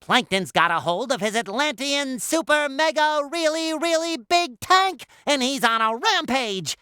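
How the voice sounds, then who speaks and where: Squeaky nasal cartoon sponge for a game, super high and bouncy all over the place, childlike n cheery. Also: male voice, expressive, unhurried, bright, forceful tone, heavily acted. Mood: cheerful narrating. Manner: exuberant, exclamatory, playfully earnest. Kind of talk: cartoon video game voice lines.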